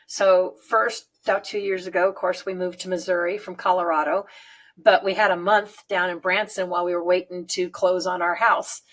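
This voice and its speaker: A woman's voice: on the low side, flat delivery, moderate pace, a bit bright, poor audio. A woman in her fifties from the United States, chatting casually, rambling and laughing often. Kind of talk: casual chat.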